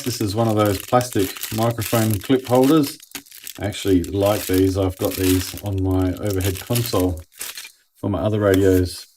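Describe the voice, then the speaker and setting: A man's voice: low voice, noisy background. An Australian man giving a casual hands-on product review in a laid-back, plain-spoken way, as if thinking aloud. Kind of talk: casual unboxing and review commentary.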